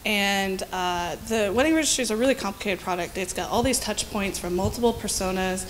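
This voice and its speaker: A woman's voice: mid-range voice, a little fast, rough recording. A woman product lead talking casually as a panelist, with some background noise on the recording.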